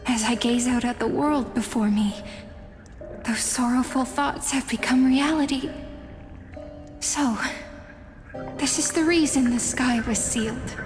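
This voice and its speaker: A woman playing a sorrowful, reflective young heroine in a video game, speaking in a solemn dramatic manner. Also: high voice. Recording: long reverb, music underneath.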